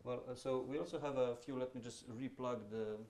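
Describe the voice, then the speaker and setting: A man's voice: mid-range voice, fairly even intonation, a little fast, noisy background. A man moderating an onstage conversation, chatty, with many fillers and false starts.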